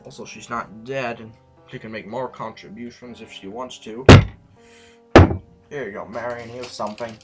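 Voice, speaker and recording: An actor in an audio drama playing a rambling, disillusioned duke and mentor, halting and musing with theatrical outbursts. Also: male voice, medium pitch, fairly even intonation, close to an everyday voice. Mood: probing. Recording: music behind.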